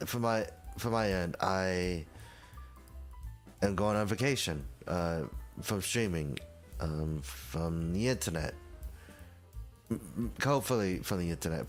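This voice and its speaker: A young man streamer, stuffy from just waking up, rambling hesitantly through a casual announcement to his viewers. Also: deep voice. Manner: rambling, hesitant, many fillers and restarts.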